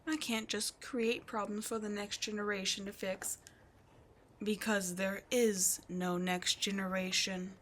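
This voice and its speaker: A woman voicing an immortal demon queen in an ASMR roleplay, imperious, seductive and cruel, speaking deliberately and often breathily. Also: mid-range voice, slight background noise, natural voice. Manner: slow, deliberate, haughty, often breathy and whispered. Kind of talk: ASMR roleplay villain monologue.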